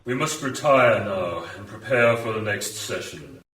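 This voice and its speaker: Man acting a slow, echoing, solemn cult monk. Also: on the low side, expressive, fairly bright tone. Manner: slow, portentous. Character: solemn, devout monk of a secret Martian order. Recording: echoey, distant radio play recording.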